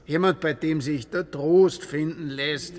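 A man's voice: on the high side, steady tone, a little slow, big-room sound, lightly acted. An actor playing a timid, earnest churchman who stammers and fumbles for words in a satirical radio play. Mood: hesitant. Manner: hesitant, stammering with many fillers.

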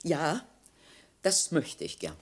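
An actress playing a bitter, defiant niece in a German radio play, speaking with pointed, theatrical sarcasm. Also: female voice, sits low, very animated, takes it slowly, background noise, heavily acted. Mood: dry, weary. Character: bitter, defiant niece, a former teacher turning on her relatives.

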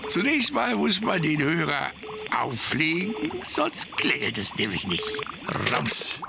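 A man voicing a dim-witted, good-natured puppet who explains things slowly and simply for a children's show. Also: on the high side, wildly swooping pitch, creaky, noisy background, exaggerated voice. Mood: cheerfully muddled. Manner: slow, simple-minded, chuckling delivery.

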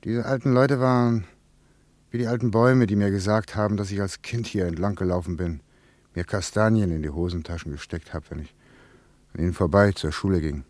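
A man playing a reflective working man in a German radio play, musing in halting phrases. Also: sits low, steady tone, a little mellow, no room sound, lightly acted. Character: Ludwig, a reflective working man returned to his childhood town.

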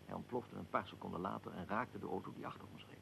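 An actor playing a dignified archduke in a radio drama, recounting events in a measured, formal, deliberate way. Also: male voice, low voice, fairly even intonation, creaky, noisy background. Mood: grave recounting. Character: archduke, dignified aristocrat recounting his own assassination. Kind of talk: radio drama, recollection in interview form.

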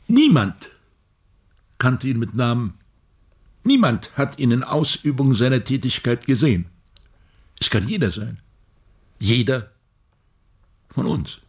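An actor playing a grave, ailing old physics professor in a German radio play, halting, emphatic and oracular, with a husky, breathy voice. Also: male voice, medium pitch, big pitch swings, full character voice. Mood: ominous, urgent. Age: older.

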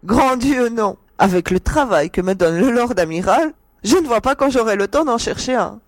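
A performer playing a spirited, independent young woman commando in an audio drama, with lively, emphatic banter. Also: medium pitch, normal speed, no echo, close to an everyday voice. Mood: amused, emphatic.

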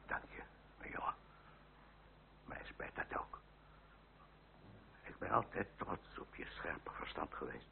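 Loyal, gentle older uncle, deep breathy murmur, earnest period radio drama, narrow humming old recording. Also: male voice, lively intonation, slow pace, pauses often, whispered, heavily acted. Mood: tender, sad. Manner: hesitant, tender, earnest. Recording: old muffled radio play, faint hum.

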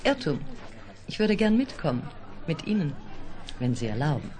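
A woman's voice: deep voice, very animated, moderate pace. An actress playing a beautiful, teasing woman from a space colony in a 1970s German science-fiction radio play. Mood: teasing, flirtatious. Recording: vintage radio play, party crowd behind.

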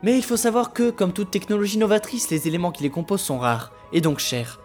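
A man performs a self-assured tech executive in a radio drama. He talks quickly in a fairly high voice. His phrasing is formal and explanatory, with soft music underneath. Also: close to an everyday voice. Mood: courteous. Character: self-assured male video game company boss, visionary. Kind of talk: French audio drama, radio interview.

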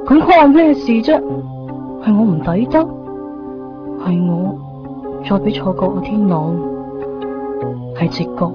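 A woman's voice: mid-range voice, lightly acted. Woman acting a prickly, jealous young Hong Kong woman. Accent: Hong Kong Cantonese. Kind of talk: 1990s Cantonese radio drama acting. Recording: dull 1990s radio recording, music behind.

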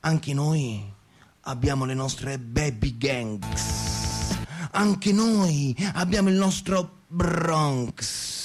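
A man's voice: high voice, a little mellow. An actor playing a smug, xenophobic, well-off city man in a satirical radio monologue, sarcastic and declamatory, reeling off lists.

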